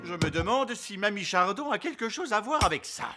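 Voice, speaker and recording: A man voicing an exasperated, sardonic fairy father in a children's animated series, with music behind. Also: high voice, a little fast. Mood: alarmed, indignant. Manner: indignant, sarcastic, theatrical.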